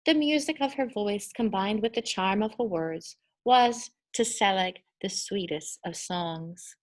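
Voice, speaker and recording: A woman narrates a children's storybook at an unhurried pace. Her voice sits at an everyday middle pitch, and she tells the tale expressively, with deliberate emphasis on key words. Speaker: storybook reader.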